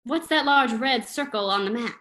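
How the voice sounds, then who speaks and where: Woman as a bright, wry sleuth's companion. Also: on the high side, moderate pace, lightly acted. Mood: inquisitive. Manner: wry, inquisitive. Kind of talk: old-time radio drama acting.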